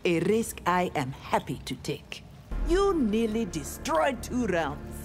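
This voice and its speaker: A woman's voice: mid-range voice, very animated, slow pace, a little mellow, soft background music. A woman with a Nigerian accent voicing a proud, righteous young ninja warrior in a fighting game, formal and defiant. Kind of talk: video game fight dialogue.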